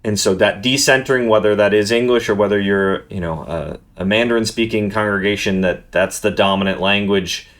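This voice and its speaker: Male book reviewer, low-pitched and earnest, talks off the cuff and stumbles over restarts. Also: fairly quick, few pauses, fairly bright tone. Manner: earnest, thoughtful, restarts words often. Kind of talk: unscripted book review monologue.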